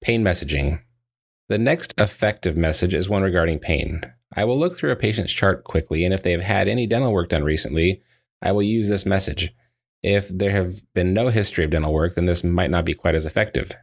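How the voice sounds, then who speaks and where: A man narrating a scripted training lesson in a measured, explanatory way, as if reading from prepared text. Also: low voice. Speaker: dental practice trainer.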